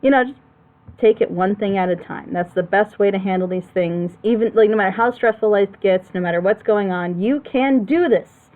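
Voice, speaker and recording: A woman hosting a group reaction show, chatting casually and giving rambling, encouraging advice in an animated way over an online voice call. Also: mid-range voice, normal speed, narrow, tinny audio. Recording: thin, band-limited voice chat audio.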